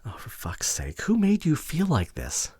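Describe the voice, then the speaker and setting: Breathy, emotional boyfriend acted by a man, swinging pitch. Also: wildly swooping pitch, mellow, no room sound, studio quality, full character voice. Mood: pleading, distressed. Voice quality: breathy, often dropping to whispers. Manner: emotional, earnest, halting with sighs. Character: remorseful, devoted boyfriend pleading to repair relationship. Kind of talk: intimate ASMR boyfriend roleplay audio drama.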